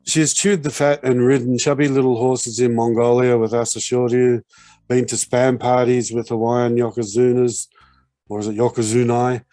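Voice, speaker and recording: A man's voice: mid-range voice, flat delivery, moderate pace, mellow, no room sound, faint music behind. A man, co-hosting a podcast and reading out a playful, wry introduction of a guest. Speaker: podcast co-host.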